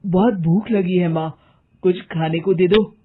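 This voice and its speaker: Obedient young son played by a woman, polite and plain-spoken, slow pace, slightly lo-fi cartoon audio. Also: fairly low pitch, steady tone, husky. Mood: hungry.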